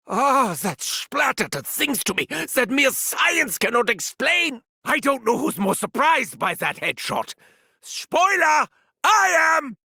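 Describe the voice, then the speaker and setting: German mad doctor, manic, sadistic, high and swooping, gravelly edge, bright sharp tone, slow deliberate delivery, dry studio sound. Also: male voice, no room sound, heavily acted. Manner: theatrical, cackling, shouted gloating. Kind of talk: video game character barks.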